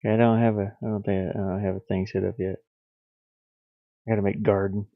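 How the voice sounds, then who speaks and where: Man, low slow creaky voice, halting absent-minded muttering, casual livestream. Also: level tone. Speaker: livestreamer, hobby game developer. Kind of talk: casual livestream, thinking aloud while working. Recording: boxy echo, thin phone-like sound.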